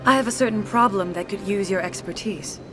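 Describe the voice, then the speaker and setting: An actress voicing a refined, composed alien consort who speaks in calm, gracious sentences. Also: female voice, medium pitch, fairly quick, close to an everyday voice. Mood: poised. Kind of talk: video game voice acting. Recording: game audio over music.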